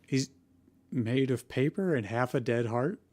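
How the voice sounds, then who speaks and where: Male comedy panelist, medium pitch, casual joking banter. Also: expressive, unhurried, darkish tone. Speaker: panelist and reader on a comedy show.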